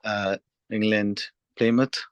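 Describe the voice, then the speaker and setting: A man from Nepal answering speaking test questions, hesitant with many pauses and restarts. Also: lowish voice, flat delivery, clean tone, no room sound, muffled recording. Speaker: test candidate. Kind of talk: mock speaking exam answers.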